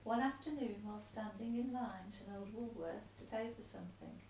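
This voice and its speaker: A woman's voice: medium pitch, a little fast, a little mellow, far from the mic, slight background noise. A woman, patiently coaxing and encouraging as she reads a letter aloud at home. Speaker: carer reading a letter aloud. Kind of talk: casual home video, reading aloud. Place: room at home. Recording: echoey room, voice off-mic.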